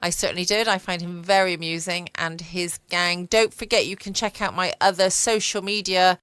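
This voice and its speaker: A woman's voice: lowish voice, fairly even intonation, normal speed, a bit bright, smooth, no echo. A woman hosting a bedtime podcast from home, speaking in a scripted outro style. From England. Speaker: podcast host. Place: home, dry close recording.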